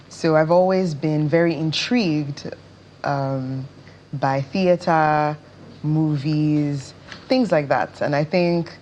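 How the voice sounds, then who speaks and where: A woman's voice: low-pitched, a little mellow, a little hiss or hum. A woman answering as a performer guest in a casual television interview. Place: television studio.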